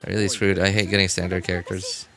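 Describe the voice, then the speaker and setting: A man's voice: sits low, little pitch movement, quick pace. A man chatting casually on a game livestream, talking to viewers in a hesitant way and often repeating his phrases. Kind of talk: casual livestream chat, reading and answering viewer comments. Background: background music.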